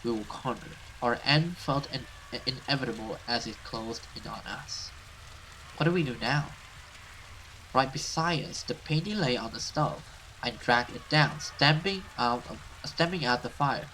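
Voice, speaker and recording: A man's voice: medium pitch, unhurried, bright, forceful tone, slight background noise, poor audio. A man hosting a let's play, reading a horror visual novel aloud and stumbling over some words as he goes.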